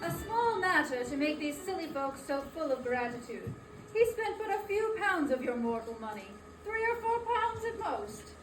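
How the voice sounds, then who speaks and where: Lady playing a high voiced solemn spirit, measured n formal, stage reading, echoey room far from mic. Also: big pitch swings, soft background music. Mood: probing. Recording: roomy, distant mic, noisy hall.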